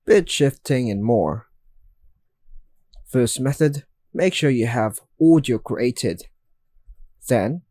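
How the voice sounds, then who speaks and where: A man's voice: mid-range voice, a little mellow, no echo, clean studio sound. A man reading a scripted how-to narration in an instructional, step-by-step manner, in short separated phrases. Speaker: tutorial narrator.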